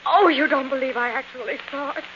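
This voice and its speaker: A woman's voice: high-pitched, close to an everyday voice. An actress playing a fragile young woman who fears for her sanity, breathless and pleading, in 1940s radio thriller style. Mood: anxious, despairing. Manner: breathless, halting, pleading, theatrical. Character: frightened, fragile young niece doubting her own sanity. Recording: dull, noisy old 1940s radio recording.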